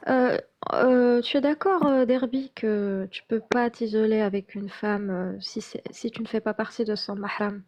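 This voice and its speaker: A woman's voice: a little high, steady tone, a little slow, dull audio. A woman taking part in a live debate, explaining terms patiently step by step.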